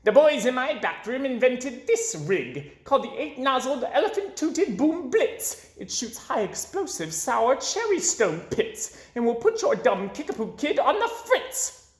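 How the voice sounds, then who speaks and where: Un hombre haciendo de rival gruñón y burlón. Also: male voice, very high-pitched, very animated, unhurried, reverberant, voice pushed up, heavily acted. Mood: taunting. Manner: snarling, taunting. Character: mean snarling male creature rival.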